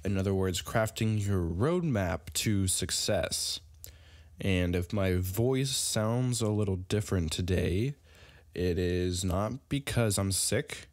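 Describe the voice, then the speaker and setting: A young adult man giving a casual online business lesson, halting often with fillers and restarts. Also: deep voice, darkish tone, no room sound, studio quality. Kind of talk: casual instructional talk.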